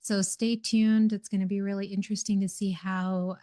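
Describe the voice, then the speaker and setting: A woman speaks as the host of an interview podcast. Her delivery is conversational, at a middling pitch for a woman. The sound is dry, with very little room around the voice. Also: level tone, normal speed, dark tone, no room sound. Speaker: podcast host, organizational coach.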